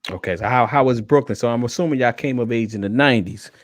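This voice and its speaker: A man in his thirties hosting a podcast, asking questions in a relaxed, slangy, teasing way. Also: mid-range voice, quick pace, no room sound, studio quality. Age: 30s. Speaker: podcast host. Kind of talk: casual interview chat.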